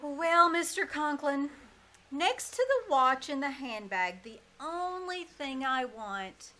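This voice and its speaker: Actress playing sardonic schoolteacher, high sharp voice, emphatic, quick-witted, radio comedy, noisy live stage recording. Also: female voice, lively intonation, full character voice. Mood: sly, wry. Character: wry, quick-witted, flustered female schoolteacher with a sly streak. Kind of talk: old-time radio comedy acting before a live audience. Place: small-town theater stage.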